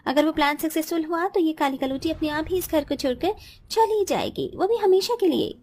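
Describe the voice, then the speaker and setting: A woman acts out a spiteful college-age sister-in-law from a cartoon tale. Her voice sits very high and young. She is haughty, bossy and quick to snap with scorn. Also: darkish tone. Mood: scheming. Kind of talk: Hindi cartoon story dialogue.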